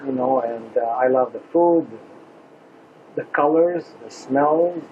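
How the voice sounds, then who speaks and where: A man, a restaurant owner answering interview questions in a halting way, with many pauses and restarts. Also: medium pitch. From Lebanon. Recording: slightly dull lo-fi recording.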